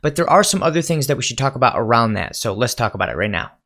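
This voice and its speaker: A man's voice: mid-range voice, big pitch swings, fast. A man talking as a gaming YouTuber, explaining and advising viewers directly in a conversational commentary style. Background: background music.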